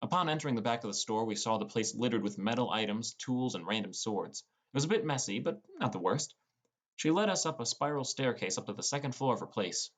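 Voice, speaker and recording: A man's voice: medium pitch, expressive, fairly quick, fairly bright tone. A man reading scripted first-person fantasy narration as an audiobook narrator. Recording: music bed under voice. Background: prominent plucked guitar music.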